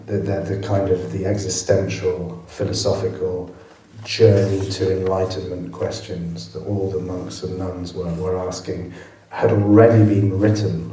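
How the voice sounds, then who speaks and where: A man's voice: deep voice, dark tone. A male film director answering audience questions at a cinema Q&A, thoughtful and prone to restarting his sentences. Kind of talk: post-screening Q&A answers. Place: cinema auditorium. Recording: echoey hall, from far off.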